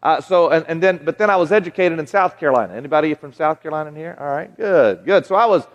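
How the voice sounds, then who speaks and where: A man's voice: fairly high pitch, quick pace, in a large hall, muffled recording. A man in his forties with a Southern American accent, preaching a folksy, conversational sermon to a live audience. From Southern United States. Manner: folksy, conversational, frequent restarts and asides to the crowd. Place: large hall with audience.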